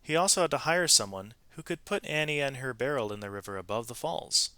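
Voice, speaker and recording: A man reading a storybook aloud to students in an expressive, emphatic way, with brief explanatory asides to his listeners. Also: medium pitch, a little fast, clear, resonant tone, dry recording. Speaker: read-aloud narrator, teacher reading to students.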